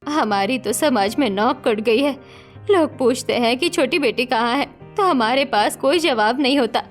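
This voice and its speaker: A woman's voice: sits high, moderate pace, lightly acted. Woman as middle-aged mother, honour-minded, cartoon family drama, faint background music. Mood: aggrieved. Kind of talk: Hindi cartoon story dialogue acting.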